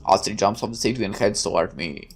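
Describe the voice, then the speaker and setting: A man's voice: on the low side, expressive, fast, breathy edge. A man reading a visual novel aloud in the first person and breaking off for hesitant, rambling asides full of fillers. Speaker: game streamer.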